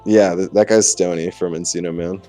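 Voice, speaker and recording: A man's voice: deep voice, steady tone, a little fast, dark tone, faint music behind. A young man chatting casually and jokingly with a friend as a let's play host, sweary and sardonic.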